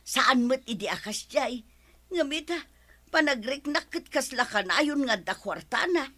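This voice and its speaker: A woman's voice: high-pitched, lively intonation, measured pace, sharp tone, heavily acted. A woman acting a nagging, suspicious village wife in a comedy drama. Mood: suspicious, complaining.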